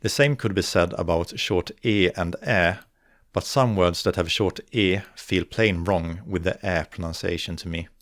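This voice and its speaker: Low-voiced Swedish man, dry close narration. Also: fairly bright tone, no echo. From northern Sweden. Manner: measured, careful, slows to articulate example words. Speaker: video essayist on dialects. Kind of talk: scripted educational narration.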